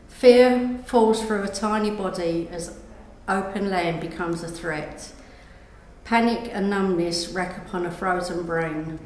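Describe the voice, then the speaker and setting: A woman's voice: fairly low pitch, a little mellow. A woman reading her own short story aloud as scripted narration. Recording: echoey room with background noise.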